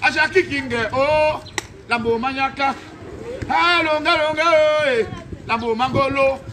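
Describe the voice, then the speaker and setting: A man hosting a charity appeal as a singer, moving between call-and-response singing and casual, directive banter in animated, drawn-out phrases. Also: extremely high pitch, noisy background.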